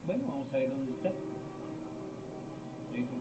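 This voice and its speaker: A middle-aged man, a teacher of esoteric topics, giving a slow, rambling informal lecture with many pauses and quoted dialogue. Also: mid-range voice, animated, over music. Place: lecture room. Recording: echoey room, distant mic, dull lo-fi sound, noisy.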